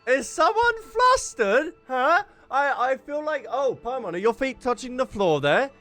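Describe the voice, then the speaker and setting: Animated, teasing male gaming streamer. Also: very high-pitched, a little slow, faint music behind. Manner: teasing, jokey, repeats phrases. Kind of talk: casual game playthrough commentary.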